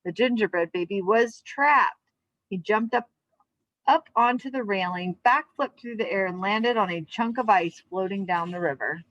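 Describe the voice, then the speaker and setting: A woman with a mid-pitched voice talks to children as their teacher. She reads and explains in simple, encouraging terms, in a dry recording with little room sound. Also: moderate pace, a bit bright, dry recording, muffled recording. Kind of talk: storybook read-aloud and instruction for children.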